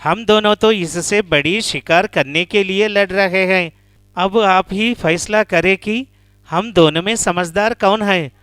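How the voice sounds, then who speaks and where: Man voicing a calm, sensible deer, unhurried. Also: high voice, clear, resonant tone, faint music behind. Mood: respectful, crafty. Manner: calm, advising. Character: sensible, clever deer, a caring friend.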